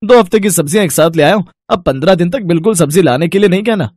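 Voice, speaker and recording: पुरुष अभिनेता भर्राई, ऊँची आवाज़ वाला पति. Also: male voice, sits high, rapid-fire, a little mellow, no room sound, clean studio sound. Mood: exasperated. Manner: explaining, mildly exasperated. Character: weary, reassuring husband and son. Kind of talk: cartoon moral story acting.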